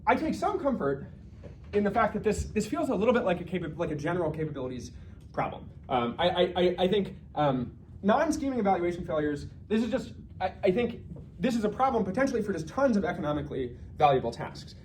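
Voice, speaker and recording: Guy doing a casual research talk, talks fast, kinda thinking out loud, bit of noise in the background. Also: sits high, big pitch swings. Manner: conversational, thinking aloud with self-corrections and asides. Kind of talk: informal research lecture.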